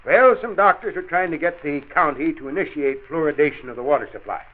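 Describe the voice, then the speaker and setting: A man's voice: on the high side, quick pace, phone-quality sound, natural voice. A man playing a stubborn but affectionate grandfather in a 1940s radio drama, folksy, blustery and mock-stern. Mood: patient, defensive. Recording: band-limited old 1940s radio recording.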